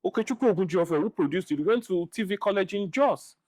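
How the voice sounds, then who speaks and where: A middle-aged man with a Nigerian accent giving opinions in a podcast interview, speaking deliberately and repeating phrases for emphasis. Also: sits high, expressive, a little mellow, no room sound. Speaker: interview guest, film director and writer.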